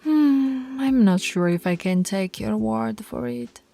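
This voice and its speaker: A woman playing a dominant, teasing female alpha werewolf pack leader in an ASMR roleplay, commanding and often slipping into a breathy whisper. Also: mid-range voice, slow pace, dark tone, soft background music. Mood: sultry warning.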